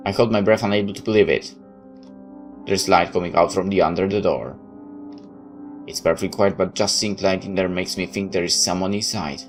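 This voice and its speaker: A man with a low voice reads a first-person story aloud. His delivery is dramatic and expressive, a little halting, with music playing behind him. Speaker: video game player reading a visual novel aloud.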